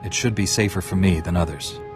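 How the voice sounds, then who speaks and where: Actor as a low-voiced, solemn heroic man. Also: fairly quick, music in the background, close to an everyday voice. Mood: calm, resolute. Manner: formal, composed. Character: solemn, resolute heroic male warrior monk. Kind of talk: video game dialogue acting.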